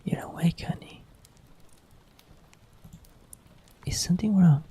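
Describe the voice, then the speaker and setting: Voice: medium pitch, a little fast, slightly rough, voice pushed up. A streamer whispering as a clingy sleepy partner. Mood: coaxing. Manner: soft teasing whisper. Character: clingy sleepy partner coaxing someone back to bed. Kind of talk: ASMR roleplay.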